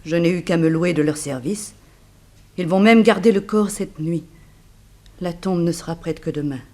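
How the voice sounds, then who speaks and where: An actor playing a plaintive, trusting widow in a 1960s French radio drama, speaking earnestly about misfortune. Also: male voice, a little high, moderate pace, dark tone, natural voice. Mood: earnest, explaining.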